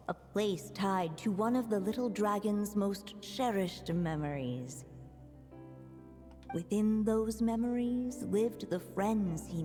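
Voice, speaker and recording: A woman playing a gentle storybook narrator in a video game, reading in a measured, calm manner. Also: medium pitch. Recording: long reverb, faint music behind.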